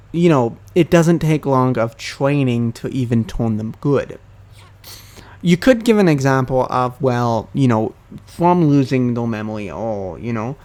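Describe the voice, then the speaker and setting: A man's voice: mid-range voice, darkish tone. A young man talking casually to camera about anime, hesitant and full of ums and self-corrections. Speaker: anime commentary youtuber. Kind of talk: casual rambling monologue.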